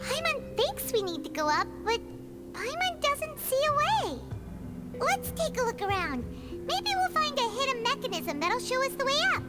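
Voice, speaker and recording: A woman voicing a small, childlike floating fairy companion in a video game, squeaky, eager and chirpy. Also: big pitch swings, moderate pace. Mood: curious. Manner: speaks of self in third person. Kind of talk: video game character acting. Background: game music.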